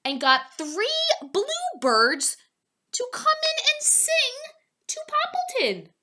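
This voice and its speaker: Female children's read-aloud host: very bright tone, unhurried pace, expressive, chatty asides to young listeners. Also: very high voice. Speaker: storybook reader for children.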